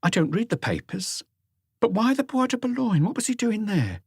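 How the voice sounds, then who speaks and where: A man voicing an anxious, grieving woman in her forties, gentle and hesitant, in an audiobook. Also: sits high, sing-song, moderate pace, husky, no echo, clean studio sound, voice pushed up, exaggerated voice. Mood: subdued distress. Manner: hesitant, apologetic.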